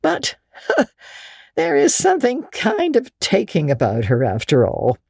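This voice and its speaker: A woman's voice: medium pitch, wildly swooping pitch, slow pace, gravelly, darkish tone, slightly rough, no echo, studio quality, voice pushed up, heavily acted. A woman voicing a kindly but officious older country woman, folksy, hearty and outspoken, in a storybook reading. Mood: musing, approving. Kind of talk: dramatic audiobook reading.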